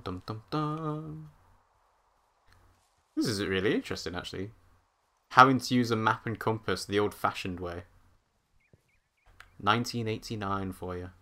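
A man commentating live on a game he plays, chatty and thinking out loud. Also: fairly low pitch. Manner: chatty, thinks out loud, hums while walking. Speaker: streamer. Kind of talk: live let's-play commentary.

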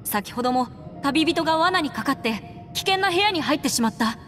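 A woman's voice: sits high, animated, normal speed, fairly bright tone. A woman voicing a composed, resolute young adventurer in a Japanese anime game, speaking in an articulate, slightly formal, earnest way. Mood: urgent, earnest. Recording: long reverb, music behind.

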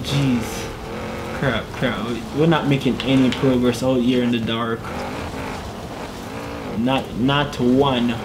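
A man's voice: medium pitch, fairly even intonation, takes it slowly, long pauses, background noise. A young man giving casual gameplay commentary on a headset in a small room at home, chatty, muttering to himself and laughing as he drives. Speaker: gaming YouTuber. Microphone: gaming headset.

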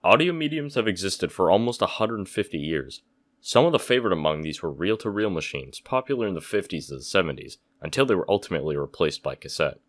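A man's voice: sits low, big pitch swings, fast talker. A young man casually narrating a DIY electronics project, with dry, understated humor. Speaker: DIY electronics video maker.

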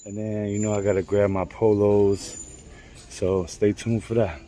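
Raspy, low-voiced middle-aged man vlogging. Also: level tone, unhurried, warm tone, a little hiss or hum. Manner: slangy, informal. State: losing his voice. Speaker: vlogger and clothing reseller. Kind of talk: casual vlog chat. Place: in stores, a parked or moving car, and a room at home.

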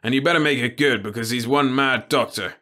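A man voicing a gruff male guard who speaks bluntly. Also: medium pitch, fairly quick, pushed and bright, pitched above the performer's own voice, lightly acted. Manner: grunting, blunt.

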